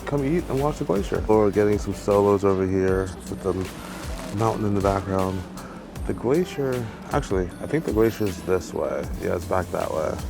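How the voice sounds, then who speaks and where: Guy vlogging on a cruise deck, lowish voice, jokey and hyped, music in the back. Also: gravelly. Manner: excitable, chatty, teasing. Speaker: travel vlogger. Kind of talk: casual vlog chat. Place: cruise ship deck outdoors.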